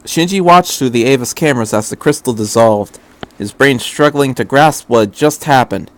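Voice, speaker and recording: A man's voice: medium pitch, moderate pace. A man reading fan fiction aloud as an amateur narrator of a scripted story.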